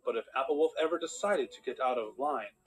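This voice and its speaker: A measured storybook narrator, played by a man, with a medium-pitched voice. He reads scripted fairytale prose steadily. Faint music accompanies him. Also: natural voice, poor audio.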